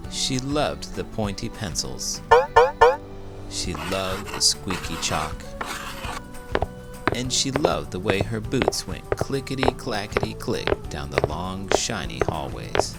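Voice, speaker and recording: Man, wildly varied pitch, gravelly fry, theatrical children's book narration, music behind. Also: slow pace. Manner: theatrical, expressive, big emotions. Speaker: host, children's book reader. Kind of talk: animated storybook read-aloud.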